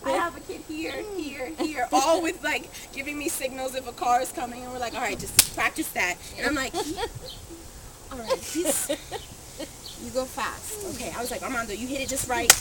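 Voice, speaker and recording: High-voiced giggly woman storyteller, noisy recording. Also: normal speed. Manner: animated, giggly, acts out dialogue. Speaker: amateur storyteller. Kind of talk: casual comic anecdote told to friends. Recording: very noisy amateur room recording.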